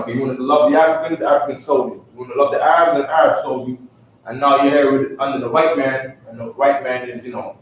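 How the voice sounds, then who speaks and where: A man's voice: medium pitch, slight background noise. Echoey far-off man teaching a class. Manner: repeats himself, constantly asks "you know what I'm saying?". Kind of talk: casual live classroom lesson. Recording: echoey, distant, dull live stream.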